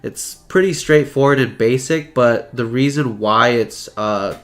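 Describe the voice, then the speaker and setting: A man's voice: mid-range voice, unhurried. A young man with a stuffy, sick voice, talking casually through a chart tutorial with many "uh" fillers. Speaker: trading channel presenter. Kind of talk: screen-share chart tutorial.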